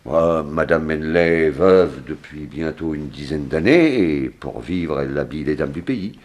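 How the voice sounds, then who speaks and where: Deep-voiced, flustered small-town sheriff. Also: male voice, expressive, a little mellow. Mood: puzzled, helpful. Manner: hesitant, drawn-out, exclaiming. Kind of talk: 1960s French radio drama acting.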